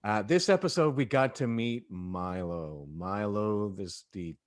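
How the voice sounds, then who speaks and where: A man's voice: fairly low pitch, expressive, measured pace. A man chatting casually and expressively as he leads a group discussion among performers. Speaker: audio drama cast member leading a cast discussion.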